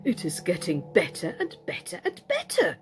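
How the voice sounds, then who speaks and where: A woman's voice: fairly low pitch, wildly swooping pitch, moderate pace, a little hiss or hum. A woman voicing an anxious, hopeful male doll in a children's storybook, fretful and earnest.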